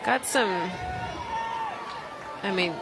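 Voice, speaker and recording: A woman giving informal, conversational color commentary on a live softball radio broadcast. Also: medium pitch, very slow. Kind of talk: live sports analysis and booth chat. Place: outdoor softball stadium broadcast booth. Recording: loud crowd and outdoor stadium noise. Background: crowd noise.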